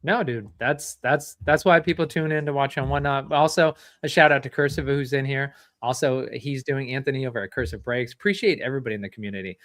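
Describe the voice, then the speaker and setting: A man hosting a casual chat, asking long rambling questions and giving shout-outs. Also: medium pitch, fast talker, few pauses, no room sound, clean studio sound. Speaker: show host.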